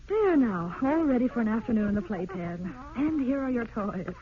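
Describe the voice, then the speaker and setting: A woman's voice: a little high, sing-song, moderate pace. An actress playing a tender, emotional young wife and mother in an old radio drama, speaking with earnest melodrama. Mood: anxious, tearful. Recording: muffled, noisy old radio recording.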